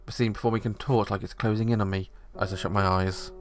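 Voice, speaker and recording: A man's voice: lowish voice, fairly even intonation, fast. A man reading the narration of a visual novel aloud, steadily, with small slips.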